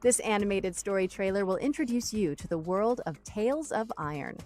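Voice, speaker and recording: A woman's voice: mid-range voice, a little fast, a little mellow, faint music behind. A woman hosting a games showcase, reading scripted presenter links. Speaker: showcase host.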